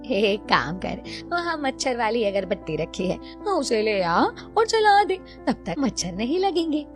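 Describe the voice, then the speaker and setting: Brisk, scolding cartoon mother-in-law acted by a woman. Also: on the high side, very animated, faint music behind. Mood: brisk, dismissive. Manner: scolding, brushing off fears. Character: brisk, dismissive, unflappable middle-aged mother-in-law. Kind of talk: Hindi cartoon story voice acting.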